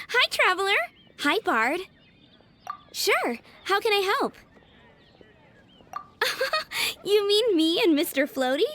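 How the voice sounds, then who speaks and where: A woman's voice: very high-pitched, big pitch swings, slow, pauses often, bright, forceful tone, slight background noise, full character voice. A woman voicing a sweet, dreamy, cheerful little girl in a video game, childlike and earnest. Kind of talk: animated video game voice acting. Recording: faint music behind the voice.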